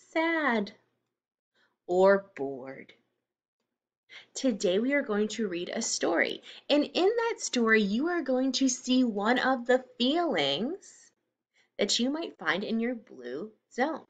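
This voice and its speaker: Female children's teacher, higher-pitched voice with animated melody, instructive read-aloud delivery. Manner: simple, instructive, expressive phrasing for young children. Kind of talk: children's read-aloud lesson with explanations and questions.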